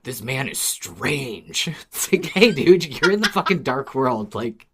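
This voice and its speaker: Woman podcast co-host, strikingly low voice, casual and expressive, repeats words to drive a point home. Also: a little slow, a little hiss or hum. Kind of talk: casual chat about an audio drama.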